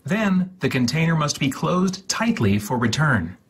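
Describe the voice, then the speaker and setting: A man giving a deliberate, dramatic reading of step-by-step instructions with exaggerated enunciation, in a husky, breathy voice. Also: on the high side, big pitch swings. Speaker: host.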